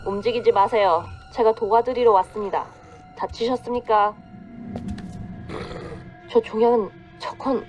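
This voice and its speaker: Actress as brisk, composed woman soldier. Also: fairly high pitch, lo-fi sound, lightly acted. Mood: urgent, commanding. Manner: clipped, businesslike, formal. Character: composed young female Korean soldier in a zombie apocalypse. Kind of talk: video game voice acting.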